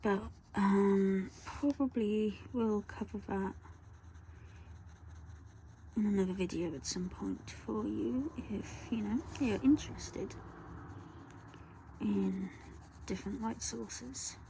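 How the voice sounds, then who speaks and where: A woman, talking softly through a colour-along tutorial and often dropping to a whisper. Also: medium pitch, takes it slowly, creaky, pauses often, a little hiss or hum, poor audio. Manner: soft, rambling, often drops to a whisper. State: croaky voice.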